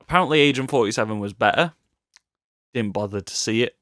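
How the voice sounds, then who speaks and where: A man with a mid-pitched voice chats as a podcast co-host. His delivery is offhand and wry, in casual conversation. The recording is dry, with no real room sound. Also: fast talker, a bit bright, no room sound. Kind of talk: casual film discussion podcast.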